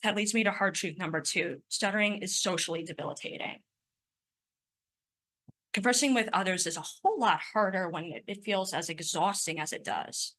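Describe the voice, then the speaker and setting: A young woman in her twenties delivers a prepared personal talk in a bright, forward voice. She speaks with a stutter, so sounds sometimes repeat before she pushes on. Her delivery stays earnest and emphatic. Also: mid-range voice, normal speed. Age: 20s. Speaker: conference speaker.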